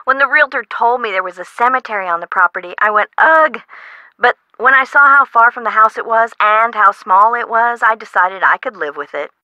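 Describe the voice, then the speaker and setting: An actress playing an excitable, worried woman homeowner in a video game, American and chatty in an emphatic way. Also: a little high, brisk, no echo, muffled recording. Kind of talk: scripted game dialogue.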